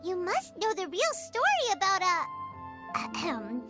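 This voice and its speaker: Shrill, bouncy fairy sidekick in a game; loud score underneath. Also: female voice, unhurried, heavily acted. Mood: pushy. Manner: excited, bouncy, high-pitched. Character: small hyper female fairy companion. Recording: game audio with loud background music.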